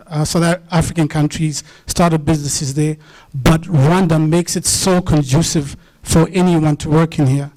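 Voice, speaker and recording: A man's voice: fairly high pitch, a little mellow, rough recording. A businessman from Zimbabwe making an enthusiastic, emphatic comment from the audience. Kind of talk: comment from the floor.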